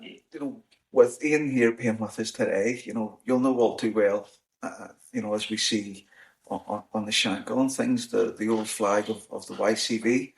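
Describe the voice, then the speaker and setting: A Northern Irish man hosting a podcast, talking in a measured way with frequent restarts and "you know"s. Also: lowish voice, steady tone, creaky, low-quality recording. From Northern Ireland. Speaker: podcast host. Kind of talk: casual discussion. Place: in-person recording on the Shankill, Belfast.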